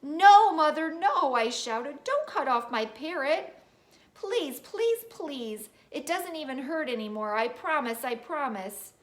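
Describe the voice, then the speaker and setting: A woman's voice: a little high, big pitch swings, a little slow, reverberant. A woman reading an audiobook, voicing a spirited, bossy little girl of about six who pleads and exclaims. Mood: pleading.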